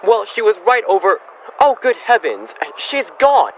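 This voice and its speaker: A man's voice: high-pitched, wildly swooping pitch, a little slow, exaggerated voice. A man playing a flustered, hapless husband in radio comedy style, stammering and sputtering. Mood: flustered, confused. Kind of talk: old-time radio comedy acting. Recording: dull lo-fi sound, faint noise.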